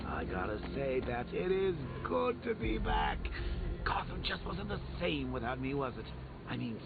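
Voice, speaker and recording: An actor playing a manic, sadistic male clown supervillain in a video game, with a gravelly, mocking, theatrical delivery. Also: fairly high pitch, full character voice. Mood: gloating. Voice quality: gravelly, mocking, swooping sing-song delivery. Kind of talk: video game villain voice lines. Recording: tinny lo-fi game audio with music.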